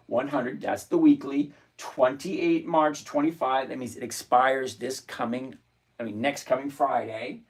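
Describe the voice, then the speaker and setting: A man hosting a live stream, talking through his options trades out loud and answering viewers by name in a chatty way from a home desk full of screens. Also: mid-range voice. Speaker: livestream host and options trader. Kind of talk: live-stream trading commentary, reading chat aloud.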